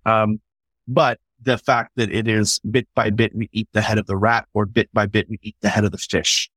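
A man's voice: on the low side, flat delivery, moderate pace, a bit bright, slightly rough, no echo. A man speaking in an enthusiastic, conversational way, swearing casually and often restarting his sentences. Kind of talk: conversational podcast discussion.

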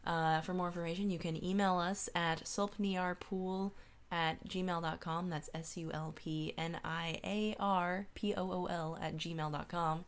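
Female podcast co-host, slightly low voice, wry and informal, conversational. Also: steady tone, measured pace, a little hiss or hum. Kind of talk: casual book discussion chat.

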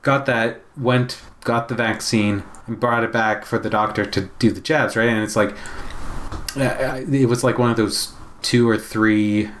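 A man's voice: mid-range voice, steady tone, measured pace, fairly bright tone, slight background noise. A man with a congested chest, chatting casually in a weary, wry, griping way. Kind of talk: casual chat.

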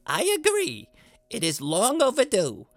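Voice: mid-range voice, huge pitch swings, a little slow, no echo. An actor playing a fussy, eager, corny middle-aged dad in a scripted comedy podcast, speaking in an earnest, theatrical way.

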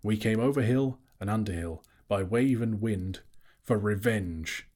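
A man voicing a small, timid but plucky male hobbit in a storybook, nervously polite with flowery flattery. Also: lowish voice, a little slow. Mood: plucky, earnest. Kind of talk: audiobook character voice.

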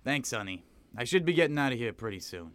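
A man's voice: medium pitch, sing-song, fast talker, a bit bright. A man playing an earnest, brooding police detective with a poetic streak in an audio drama. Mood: hurried, affectionate. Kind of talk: audio drama acting with noir-style diary narration.

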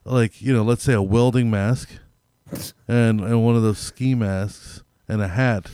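A man's voice: lowish voice, steady tone, measured pace, mellow, clear, resonant tone, no room sound. A man chatting casually as a podcast co-host, with slangy, profane, rambling talk that piles up hypothetical examples. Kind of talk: casual chat, profane banter.

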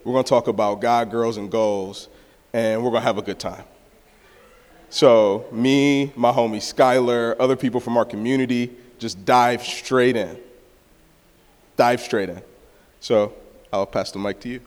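A man, a church planter, telling stories casually on a panel, animated and full of "like". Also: mid-range voice, a little slow. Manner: animated storytelling, filler "like". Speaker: church planter and football coach. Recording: reverberant hall.

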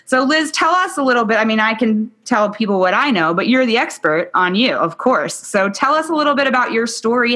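A woman's voice: medium pitch, a bit bright. A woman hosting a summit interview, speaking in an upbeat, promotional way over a video call. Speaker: summit host.

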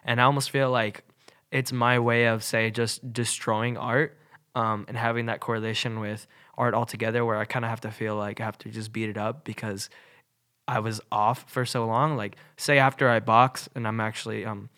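A man's voice: fairly low pitch, level tone, moderate pace, sharp tone. Young man, nasal from a cold. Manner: rambling, many fillers like "like" and "um". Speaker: podcast co-host. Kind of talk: casual podcast chat.

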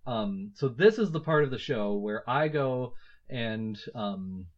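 A man's voice: medium pitch, expressive, slow pace. A man in his thirties hosting a casual book-discussion podcast, thinking aloud with many fillers and restarts. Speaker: podcast host. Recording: slightly muffled lo-fi audio.

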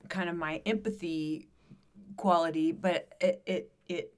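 Agreeable woman with a lowish voice. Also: steady tone, unhurried, clear, resonant tone. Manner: supportive, agreeable, hesitant. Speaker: conversation partner. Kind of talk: casual chat.

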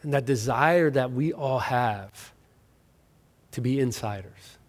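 A middle-aged man preaches in a loose, conversational way, joking at his own expense and restarting his sentences often. His voice sits at an ordinary middle pitch. The sound carries the long reverb of a large hall. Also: takes it slowly. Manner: conversational, self-deprecating, many restarts and fillers. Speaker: pastor. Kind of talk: sermon.

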